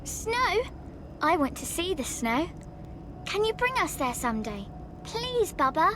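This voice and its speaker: A woman's voice: extremely high pitch, big pitch swings, measured pace, noisy background, full character voice. A woman playing an eager, pleading young child in a video game.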